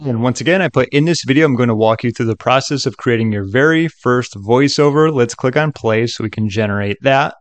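Guy doing a chatty upbeat screen tutorial, normal pitch, really dry close sound. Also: a little fast, hardly any pauses, no room sound. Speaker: software tutorial presenter. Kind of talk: casual screen-recording walkthrough.

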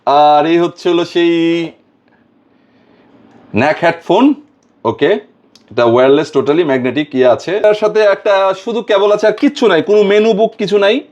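A man's voice: high-pitched, moderate pace, a little mellow, lo-fi sound. A Bangladeshi man hosting a casual product demonstration, mixing English words and phrases into his talk. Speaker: product reviewer and video host.

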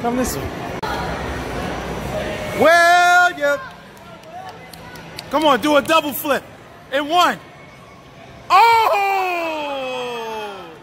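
A woman chatting casually and encouraging kids excitedly as she films a family outing on a phone. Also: sits high, very slow, long pauses. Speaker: vlogger filming a family outing. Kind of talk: casual chat and cheering. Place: large busy indoor shopping mall. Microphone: handheld phone, behind the camera. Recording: noisy crowded indoor mall, phone audio. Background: crowd chatter and ride noise.

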